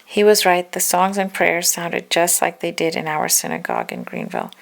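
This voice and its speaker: A woman reading a children's story aloud in an expressive storytelling style. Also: lowish voice, moderate pace, gravelly. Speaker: storybook reader.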